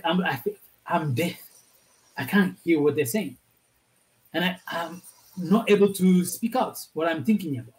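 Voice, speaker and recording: A man's voice: sits high, a little mellow, low-quality recording. A man from Ethiopia with an Ethiopian accent, Amharic his first language, telling a story in a loose, rambling way over a video call. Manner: rambling, restarting phrases. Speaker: panel speaker. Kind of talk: casual storytelling in a discussion.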